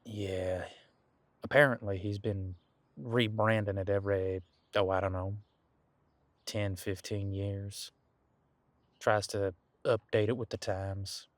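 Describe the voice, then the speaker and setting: An easygoing ancient demon detective played by a man talks in a low voice, close and dry. He muses in a folksy, laid-back way, as if chatting with a companion in an audio roleplay. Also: fairly even intonation, takes it slowly, pauses often, no echo. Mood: relaxed, musing. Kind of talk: conversational one-sided audio roleplay.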